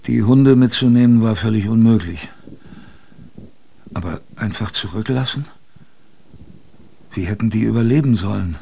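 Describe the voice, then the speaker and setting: An actor playing a male polar expedition survivor, narrating his story dramatically in first person, halting and repeating phrases. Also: fairly low pitch, gravelly, mellow, a little hiss or hum. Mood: grave.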